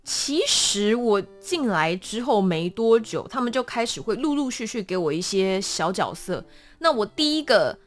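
A woman with a Taiwanese Mandarin accent, telling stories as a guest in a conversational interview with a dramatic, animated delivery. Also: medium pitch, normal speed, faint music behind. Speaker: voice actor guest.

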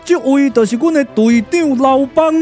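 A man voicing a brash, comic warrior with a Taiwanese accent, blunt, colloquial and excitable, in a glove-puppet radio drama. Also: very high-pitched, normal speed, soft background music. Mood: breezy, introducing.

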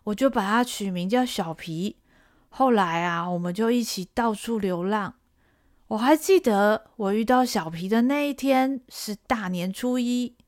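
A woman voicing a poor, stubborn orphan peasant boy who talks in a drawn-out, pleading way. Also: mid-range voice, lively intonation, darkish tone, natural voice. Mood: wistful. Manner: defiant, pleading, drawn-out delivery.

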